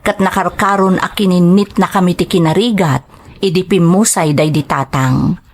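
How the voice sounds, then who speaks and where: A woman's voice: lowish voice, normal speed. A woman giving scripted narration of a letter for a drama story.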